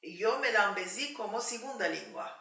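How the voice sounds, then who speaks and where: A woman from Turkey whose first language is Turkish, speaking slowly and thoughtfully with many pauses in a documentary interview. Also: mid-range voice, animated, vocal fry, sharp tone. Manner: slow, thoughtful, with frequent pauses and fillers. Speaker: Ladino language advocate interviewee. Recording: roomy sound, mic at a distance.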